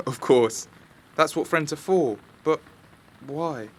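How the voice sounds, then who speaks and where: An actor playing a sheepish, excitable young video maker in an audio drama, hesitant, apologetic and easily flustered. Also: male voice, on the high side, slow, slight background noise.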